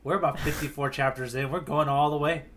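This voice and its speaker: A man co-hosting a casual podcast as its guide, bantering in a playful, animated hype-man manner. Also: fairly high pitch, fast talker, a little hiss or hum. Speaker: podcast co-host, tour guide. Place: remote online podcast recording.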